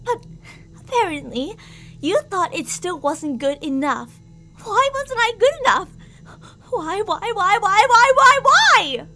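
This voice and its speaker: A woman's voice: extremely high pitch, very animated, slow pace, pushed and bright, soft background music, exaggerated voice. A woman playing an obsessive, delusional stalker in an ASMR roleplay, theatrical and sweetly menacing, with jealous, volatile endearments and reproaches. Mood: anguished outburst.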